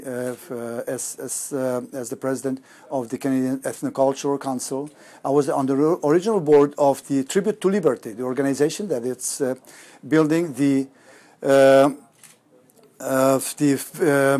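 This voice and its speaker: Polish-accented man in his fifties, halting. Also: medium pitch, darkish tone. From Poland. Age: 50s. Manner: halting, many "uh" fillers and restarts. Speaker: parliamentary candidate. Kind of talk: campaign video talking to camera. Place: indoor campaign office before a banner.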